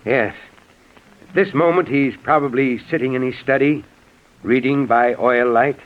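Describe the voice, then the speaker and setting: An actor in a 1940s radio drama playing a courteous, wistful middle-aged doctor with a reflective, measured manner. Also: male voice, mid-range voice, natural voice. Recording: tinny, phone-like 1940s radio recording.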